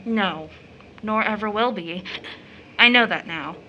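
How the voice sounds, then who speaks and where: A woman's voice: mid-range voice, takes it slowly, pushed and bright, dull audio, a little hiss or hum. An actress playing a proud, bitter young woman who is kind to children, in a scripted audio drama. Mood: bitter, resigned.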